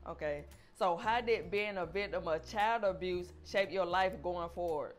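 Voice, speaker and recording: A woman hosts an interview in a fairly low voice. She asks brief, plain questions and keeps her acknowledgements short and steady. A little music sits faintly underneath. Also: normal speed. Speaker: podcast host, interviewer.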